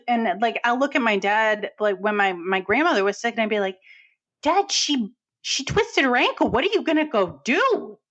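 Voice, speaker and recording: A woman with a New England accent and a somewhat high voice chats as a film podcast co-host. She retells scenes in a casual way, slipping into quoted dialogue. The recording is a little dull and lo-fi. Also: fairly bright tone. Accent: New England American. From New England, United States. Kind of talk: casual film review chat.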